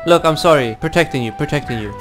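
A man's voice: a little high, quick pace, over music. Sarcastic young man trolling teammates. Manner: mock-friendly and sarcastic, teasing and provoking teammates. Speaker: gaming video host. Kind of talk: trolling voice chat during an online shooter match.

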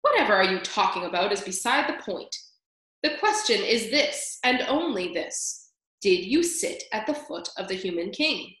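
A woman's voice: mid-range voice, very animated, normal speed, a bit bright, heavy reverb. A woman voicing a stern elder male head mouse, formal, pompous and commanding, in a storybook. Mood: stern, interrogating.

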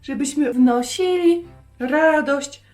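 A woman voicing a kindly old grandmother who gently teaches her granddaughter in a children's story. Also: high voice, takes it slowly. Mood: cheerful teaching. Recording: roomy echo, music behind.

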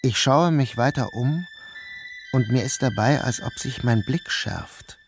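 A man's voice: mid-range voice, measured pace, creaky, over music. A man acting a troubled, bewildered son who narrates in a literary first-person radio drama. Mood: dreamlike, brooding. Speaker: narrator and protagonist.

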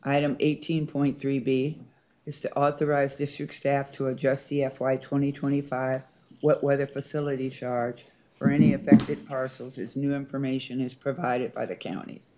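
A man's voice: medium pitch, flat delivery, normal speed, warm tone, a little hiss or hum. A man serving as board president, reading agenda items and calling motions and votes in a formal meeting. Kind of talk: formal procedural meeting chairing.

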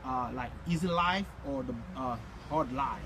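Haitian guy talking about his art, halting earnest english, phone at arm's length outside, loud traffic behind. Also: mid-range voice. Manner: halting, earnest, non-native phrasing. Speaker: artist guest. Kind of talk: casual interview. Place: outdoors by a stone balustrade. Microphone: phone held at arm's length. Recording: phone video outdoors with loud traffic noise.

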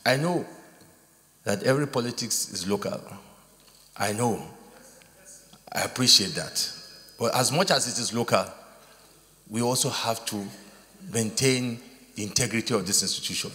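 A man's voice: medium pitch, normal speed, pauses often, pushed and bright. A man with a Nigerian accent giving a formal address to fellow lawmakers as presiding senator. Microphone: gooseneck desk microphone. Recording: reverberant chamber.

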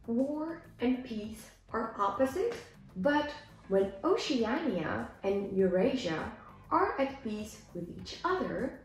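Young female English teacher, higher-pitched, explanatory story reading for learners, very echoey room with music underneath. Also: a little mellow. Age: young adult. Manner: deliberate, clearly explanatory, gesturing as she teaches. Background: background music.

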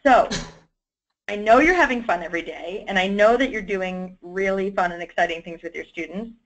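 A woman's voice: mid-range voice, moderate pace, a bit bright. A woman hosting a webinar, chatty and friendly as she thanks and introduces speakers over a video call. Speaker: webinar host. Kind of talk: casual webinar moderating. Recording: dull lo-fi online call audio.

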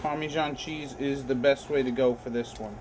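A man's voice: mid-range voice, steady tone, normal speed, background noise. A young man hosting a casual cooking vlog in his home kitchen, chatty and loose, recorded on a camera mic.

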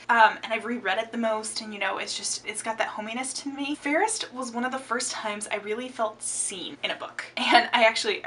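Young woman book vlogger, bright and lively, slightly off-mic with faint room hiss. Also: mid-range voice, recorded from a distance. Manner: chatty and expressive, gestures a lot. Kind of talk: casual book tag chat. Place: home room with bookshelves. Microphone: camera framing, upper body.

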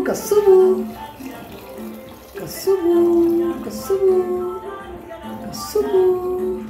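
A man with a Kenyan accent chatting casually as he cooks in a small home kitchen, mixing languages and half-singing repeated words. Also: very high-pitched. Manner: chatty, mixes Swahili and English, sing-song repeats words. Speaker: home cook vlogger. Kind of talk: casual cooking vlog. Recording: echoey kitchen with music behind.

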